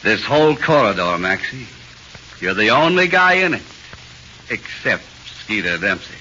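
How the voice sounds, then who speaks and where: A muffled, hissy 1940s radio recording carries a sadistic prison cop. His voice is low and very hard-edged, gloating and sneering as he taunts in classic radio horror style. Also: sing-song, unhurried, bright, forceful tone, full character voice. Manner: sneering, taunting, chuckling menace. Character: sadistic, gloating prison policeman, tough and mocking.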